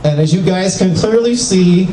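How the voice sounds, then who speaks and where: An older man doing a self-mocking, animated stand-up comedy set in a small venue. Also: high-pitched, moderate pace. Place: small venue with live audience. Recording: echoey, distant club recording with crowd.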